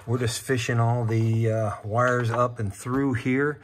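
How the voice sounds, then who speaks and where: A man presenting a casual, unscripted installation walkthrough, talking haltingly as he works with his hands. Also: mid-range voice, level tone, slight background noise. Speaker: how-to video presenter.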